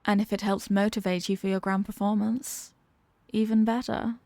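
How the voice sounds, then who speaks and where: Creaky, seductive villainess acted by a woman, close-miked. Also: mid-range voice, level tone, moderate pace, mellow, no room sound, lightly acted. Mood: confident, teasing. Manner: teasing, sultry, often dropping into breathy whispers. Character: seductive, dominant female villain toying with her hostage. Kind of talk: intimate ASMR audio roleplay. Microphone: close microphone in a dry space.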